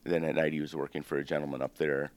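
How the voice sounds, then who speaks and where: A man in his fifties, born in Greece and raised in the United States, telling his family's story as a restaurant-owner guest in a relaxed, rambling way with many ums. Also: very deep voice, fast. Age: 50s. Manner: relaxed, rambling, with frequent ums and self-corrections. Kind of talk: casual interview storytelling.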